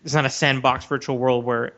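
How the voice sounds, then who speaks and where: A man's voice: medium pitch, little pitch movement, brisk, fairly bright tone. A man co-hosting a podcast, explaining his opinions in casual, argumentative discussion. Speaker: podcast co-host. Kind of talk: casual discussion of video games. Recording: dull, lo-fi voice recording.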